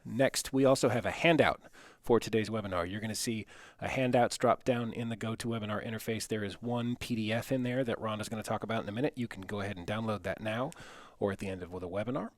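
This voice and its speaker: A man's voice: fairly low pitch, fast talker, no echo. A man hosting an online webinar, giving housekeeping announcements in an informal, matter-of-fact way. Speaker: webinar host and moderator.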